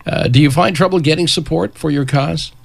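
A man hosting a radio talk show, speaking in a halting way with many fillers. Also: on the high side, vocal fry, no room sound. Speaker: radio host. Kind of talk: radio interview. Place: radio studio.

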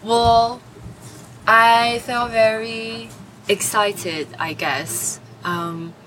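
A woman's voice: on the high side, fairly even intonation, drawn-out words, fairly bright tone, a little hiss or hum, poor audio. A woman in her twenties from Taiwan, answering interview questions hesitantly with many fillers. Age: 20s. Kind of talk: oral history interview.

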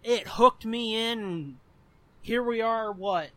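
High-pitched, bright-voiced young American man. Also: slow pace, no room sound. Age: 20s. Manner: profane, informal, rambling. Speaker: podcast host. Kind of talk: casual chat, telling personal anecdotes.

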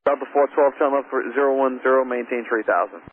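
Very fast-talking male air traffic controller. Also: medium pitch. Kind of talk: brisk procedural radio instructions. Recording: noisy narrow-band aviation radio.

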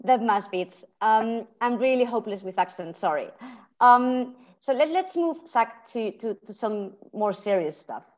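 A woman's voice: on the high side, animated, normal speed. Spanish accented woman hosting a policy podcast, friendly, kinda stumbles and restarts. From Spain. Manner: friendly, hesitant, with repeated restarts. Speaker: podcast host, research fellow. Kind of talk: podcast interview.

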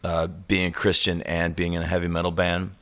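A man in his early fifties with a fairly low voice. He works as the interviewer, putting questions in a loose, conversational way. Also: monotone, moderate pace, fairly bright tone. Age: early 50s.

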